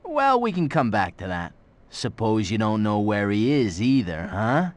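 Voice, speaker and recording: An actor playing a tough, sardonic American police detective, curt and needling, in a crime game. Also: male voice, on the low side, sing-song, a little slow, dry recording. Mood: sardonic, pressuring.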